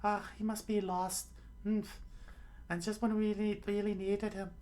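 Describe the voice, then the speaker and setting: A woman's voice: medium pitch, level tone, unhurried, dark tone, pitched above the performer's own voice, natural voice. A woman voicing a hot-tempered, greedy young female thief who scolds indignantly in an adventure story. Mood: urgent.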